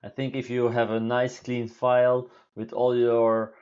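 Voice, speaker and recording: A man in his thirties from Belgium with a deep voice, answering viewer questions in halting English on a home live stream. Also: completely flat delivery, a little mellow, lo-fi sound. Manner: halting, many fillers and restarts, non-native English phrasing. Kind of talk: casual live Q&A talk. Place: small room indoors at home.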